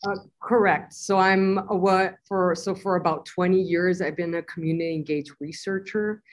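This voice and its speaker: A woman from the US-Mexico border answers interview questions in a low, earnest voice. She is bilingual and talks in a measured way, pausing on small fillers as she explains her work. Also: fairly even intonation. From US-Mexico border, Nogales. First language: bilingual Spanish and English. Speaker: guest, community-engaged scientist.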